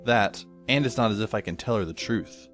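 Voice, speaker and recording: A man's voice: lowish voice, fairly quick, fairly bright tone, natural voice. A man playing a sardonic, exasperated teenage schoolboy who narrates and acts his own story in an amateur audio drama, wordy and dry. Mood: wry narration. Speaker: narrator and lead character. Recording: faint music behind the voice. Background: faint music.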